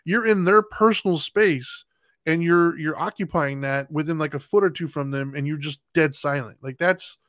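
Reflective man, slightly high voice. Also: moderate pace, fairly bright tone, dry recording, clean studio sound. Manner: thoughtful, many fillers and restarts. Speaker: podcast co-host. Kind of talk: reflective casual conversation.

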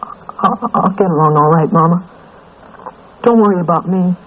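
A man's voice: high voice, normal speed. A male actor playing an earnest, dutiful young boy, plain-spoken and respectful, in a 1940s radio drama. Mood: dutiful, sad. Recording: muffled, hissy old radio recording.

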